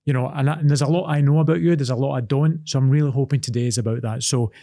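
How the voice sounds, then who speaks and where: A man's voice: mid-range voice, steady tone, rapid-fire, warm tone, no echo, studio quality. A man, an actor and presenter, interviewing a guest on a podcast, encouraging her and often repeating himself as he goes. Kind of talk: podcast interview, scripted intro then casual chat.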